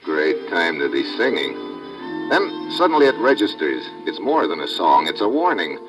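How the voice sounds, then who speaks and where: Tough diver-narrator: deep, hard-boiled, wry, 1940s-style radio adventure with score. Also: male voice, sing-song, normal speed. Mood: tense narration. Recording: vintage radio recording with music.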